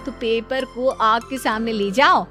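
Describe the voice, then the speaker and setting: A woman's voice: on the high side, a little slow, sharp tone, faint music behind. A woman playing a confident young know-it-all daughter-in-law in a cartoon story, boastful and breezy. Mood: explaining. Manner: boastful, breezy, self-assured. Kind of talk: Hindi cartoon moral-story acting.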